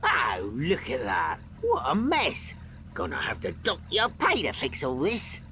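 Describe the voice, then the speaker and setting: A man's voice: fairly high pitch, huge pitch swings, a little slow, pushed and bright, slight background noise, full character voice. An actor playing a small, cocky rat henchman who sneers and boasts, in a video game. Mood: sneering.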